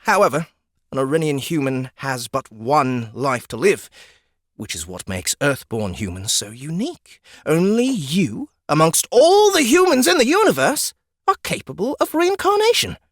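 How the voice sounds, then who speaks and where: A haughty fantasy lizard acted by a man lectures like an exasperated guide to lesser beings. The delivery is theatrical and swings widely in pitch. The sound is dry, as in an audiobook. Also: very animated, normal speed, dry recording. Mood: lecturing. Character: pompous, exasperated ninety-one-year-old male lizard guide, youthful-looking. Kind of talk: audiobook character acting.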